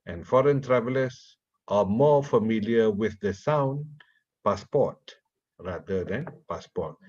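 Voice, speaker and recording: A man from Malaysia whose first language is Malay, giving a slow, deliberate university lecture and putting questions to his audience. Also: lowish voice, expressive, a little mellow, muffled recording. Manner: slow, deliberate, repeats words while asking the audience questions.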